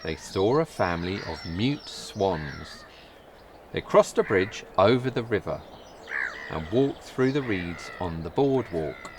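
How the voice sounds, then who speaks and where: A man reading a children's story aloud in a measured, careful delivery. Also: low-pitched, very animated, background noise. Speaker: storybook reader. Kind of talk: scripted children's story narration.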